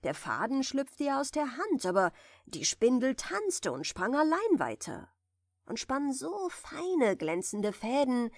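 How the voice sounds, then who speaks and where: A woman reading a fairy tale softly and soothingly as a bedtime audiobook narrator, in a measured way. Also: fairly high pitch, no room sound, studio quality. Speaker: audiobook reader of fairy tales.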